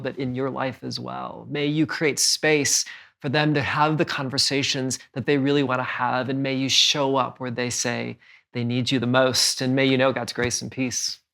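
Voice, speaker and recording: A man's voice: mid-range voice, vocal fry, fairly bright tone. A man hosting a podcast in a conversational style, speaking in an earnest, encouraging manner. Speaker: podcast host.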